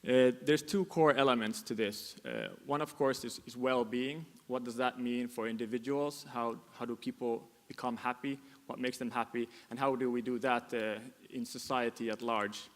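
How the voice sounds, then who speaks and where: A man's voice: medium pitch, fairly even intonation, moderate pace, few pauses. A man from Finland giving a conference presentation, with frequent fillers and repeated words. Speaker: conference speaker. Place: conference hall. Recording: echoey hall.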